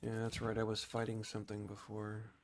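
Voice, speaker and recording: A man's voice: on the low side, monotone, a little fast, a little hiss or hum. A man giving casual gameplay commentary as a streamer, thinking aloud and explaining things informally. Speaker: game streamer.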